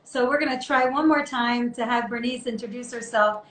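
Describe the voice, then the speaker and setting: A woman's voice: on the high side, normal speed, fairly bright tone. A woman hosting a chatty live online interview in a playful, teasing, enthusiastic way. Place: indoor room, online video call. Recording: echoey room with background noise.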